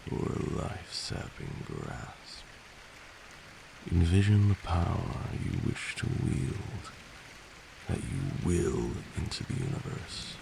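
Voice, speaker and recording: A man playing a patient, stern vampire lord who teaches magic in a measured, formal ASMR roleplay. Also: low voice, vocal fry, background noise, heavily acted.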